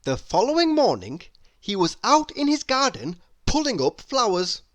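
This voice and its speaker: A man reading a children's story aloud with dramatic, deliberate, expressive narration. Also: very high voice, no echo. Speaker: storybook reader.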